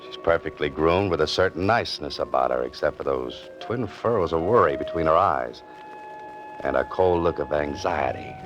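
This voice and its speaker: Very deep male voice, wry American writer-adventurer, laconic, 1940s radio drama, dull old recording, music behind. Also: normal speed. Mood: wry narration. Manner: wry, laconic, hesitant asides. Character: wry, easygoing male writer-adventurer narrating his own case.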